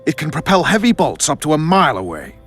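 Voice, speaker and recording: A man's voice: on the high side, huge pitch swings, fast talker, fairly bright tone, soft background music. A man voicing a proud, roguish military officer, commanding and sardonic, in a video game. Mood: sly, urgent.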